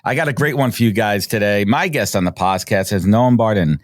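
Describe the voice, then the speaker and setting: A man's voice: lowish voice, fairly quick, dry recording, studio quality. A man hosting a business podcast, enthusiastic, often restarting and repeating words. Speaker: podcast host. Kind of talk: casual interview chat.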